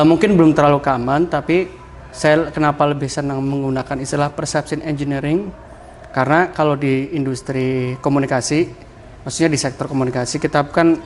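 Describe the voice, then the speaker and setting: A man's voice: medium pitch, fairly even intonation, brisk, a little hiss or hum. A man with a Javanese ngapak accent, a podcast guest explaining ideas in a casual chat, often ending points with "kayak gitu.". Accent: Javanese Banyumas (ngapak). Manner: explains with many "kayak gitu" tags, laughs easily. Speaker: podcast guest, communication mentor.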